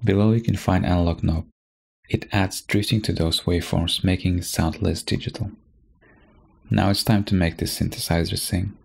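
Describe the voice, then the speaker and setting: A man narrating a software tutorial from a script, as a presenter explaining step by step. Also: low-pitched, fairly even intonation, moderate pace, vocal fry, faint music behind.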